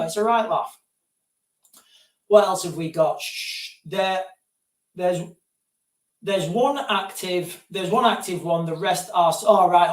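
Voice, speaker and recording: Male streamer, high voice, loose digressive chat, set back from the mic in a roomy space. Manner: digressive, keeps addressing viewers as guys. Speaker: live-stream host answering viewer questions. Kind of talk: casual rambling live-stream chat. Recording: roomy sound, distant from mic.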